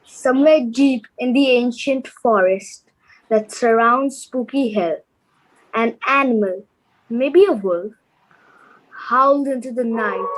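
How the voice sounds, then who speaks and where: Female narrator for audio drama, slightly high pitch, very unhurried scripted storytelling. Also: noisy background. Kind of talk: scripted radio play narration.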